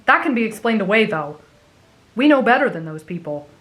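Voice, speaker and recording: A woman's voice: medium pitch, big pitch swings, brisk, fairly bright tone, voice pushed down, rough recording. A woman voicing an anxious, scheming teenage boy with a petulant, exasperated manner, in an anime fan roleplay. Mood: skeptical.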